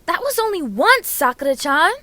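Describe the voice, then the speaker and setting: A woman's voice: very high-pitched, sing-song, bright, forceful tone, voice pushed up, exaggerated voice. A woman voicing an indignant, dramatic young ninja boy who whines and drags out his vowels in a story reading. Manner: drawn-out vowels, exclamatory.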